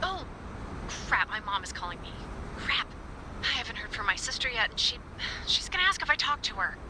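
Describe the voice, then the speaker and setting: An actress playing an anxious, science-minded researcher in a video game, thinking aloud haltingly over a walkie-talkie. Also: female voice, a little high, sing-song, background noise. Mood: worried. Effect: walkie-talkie radio. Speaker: researcher on a radio. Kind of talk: naturalistic video game acting.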